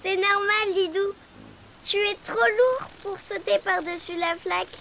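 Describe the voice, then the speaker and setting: A woman voicing a playful, teasing little girl character in a children's cartoon, cheerful, exclamatory and giggly, speaking clearly for young children. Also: very high voice, steady tone, unhurried, bright, forceful tone, a little hiss or hum, full character voice.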